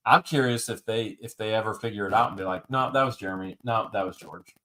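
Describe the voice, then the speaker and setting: A man's voice: lowish voice, quick pace, sharp tone, slight background noise, low-quality recording. Wisecracking man calling an auction. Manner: wisecracking, repeats bids, drawls some phrases. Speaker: live auction host. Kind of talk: live-stream auction patter.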